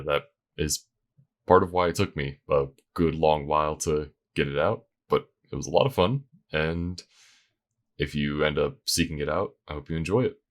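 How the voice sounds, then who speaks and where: A man's voice: rumbling low, a bit bright. A man giving a casual update to his listeners in an informal, rambling, self-effacing way. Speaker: voice actor and audio creator.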